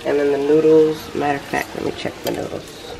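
Low-voiced young woman, echoey kitchen. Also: flat delivery, a little fast, gravelly. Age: young adult. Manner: informal, talking while busy cooking. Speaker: home cooking vlogger. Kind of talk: casual cooking demonstration. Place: home kitchen, indoors. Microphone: camera mic a few feet away. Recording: roomy, noisy kitchen camera recording.

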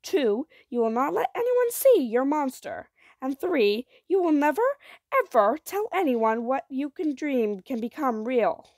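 A woman's voice: high voice, sing-song, unhurried, a little mellow, dry recording, voice pushed up, exaggerated voice. A woman voicing a protective mother in a storybook, stern and emphatic as she lays down rules.

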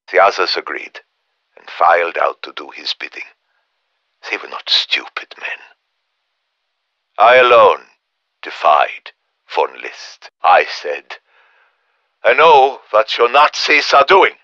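An actor playing a grave, weary, middle-aged German professor in a video game, with a German accent, speaking in measured, solemn, formal phrases as in a dramatic memoir. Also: male voice, low voice, bright, forceful tone, dry recording, highs cut off, heavily acted. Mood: defiant. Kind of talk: dramatic scripted audio memoir.

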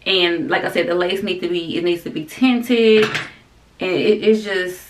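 A woman's voice: fairly low pitch, steady tone, a little slow, slight background noise. A woman, chatting to camera at home in a loose, rambling vlog style and calling viewers "y'all". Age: 30s. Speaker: vlogger. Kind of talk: casual chat to camera. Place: bedroom at home, indoors.

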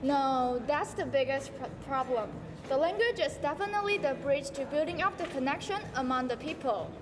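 A woman's voice: high voice, animated, normal speed, noisy background. A teenage girl with a Taiwanese accent playing an earnest young female doctor in a school stage play. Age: teens. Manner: earnest, explanatory. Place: large school auditorium stage. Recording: echoey hall with music behind.

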